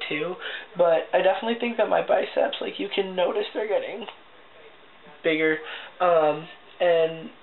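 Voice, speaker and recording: Young man, casual video diary, voice cracking, lots of ums, thin phone-like sound. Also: a little high. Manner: chatty, upbeat, many ums and likes. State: voice cracking often. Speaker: vlogger. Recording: tinny lo-fi audio with faint hiss.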